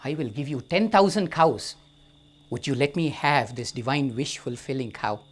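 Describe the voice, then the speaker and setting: Guy doing proud demanding king, bit husky, loud and pushy. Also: mid-range voice, normal speed, lightly acted. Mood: coaxing. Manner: demanding, bargaining, commanding. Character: proud ambitious ancient king, male.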